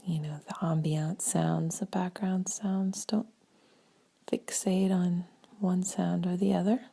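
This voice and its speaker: A woman guides a meditation in a lowish, relaxed voice with a creaky edge. Her phrases come gently with long pauses between them, soft and breathy, often sinking almost to a whisper.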